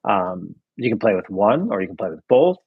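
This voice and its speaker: A man's voice: on the low side, sing-song, normal speed, slightly rough, no echo, phone-quality sound. A man, a cycling coach, explaining training ideas casually with many "right?" checks.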